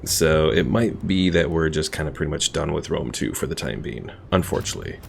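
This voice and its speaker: A man's voice: very deep voice, lively intonation, a little fast, vocal fry, slight background noise. A man casually chatting with viewers while streaming a strategy game, laid-back and rambling. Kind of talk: casual live stream commentary.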